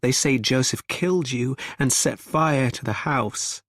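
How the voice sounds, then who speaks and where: A man voicing a frantic grown man who shouts in a raised, higher voice. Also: unhurried, no room sound, clean studio sound. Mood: agitated. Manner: loud and agitated.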